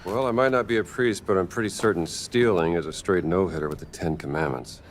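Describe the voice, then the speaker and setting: A man playing a gruff, wry American archaeologist adventurer in a cinematic game, dry and sardonic. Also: sits low, fairly quick, slight background noise, natural voice. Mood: wry, irritated.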